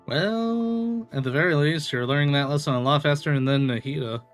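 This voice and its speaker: A man's voice: a little high, normal speed. Casual male game streamer, music behind. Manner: chatty, reacting aloud to game dialogue. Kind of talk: casual gameplay commentary. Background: game audio and music.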